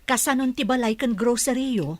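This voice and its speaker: A woman's voice: a little high, a little fast, no room sound, natural voice. A woman playing a kindly, nosy village neighbour with an Ilocano accent in a radio drama. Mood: curious.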